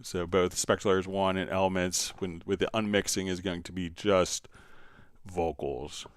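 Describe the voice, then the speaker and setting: A man hosting a live software tutorial, answering viewer questions casually and thinking aloud in a halting way as he demonstrates. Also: sits low, steady tone, clear, resonant tone, no echo, studio quality.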